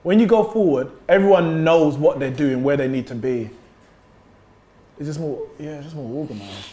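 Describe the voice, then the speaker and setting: Man, football fan, very animated pitch. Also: moderate pace. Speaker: football fan panellist on a live watchalong. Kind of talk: casual reactive match chat.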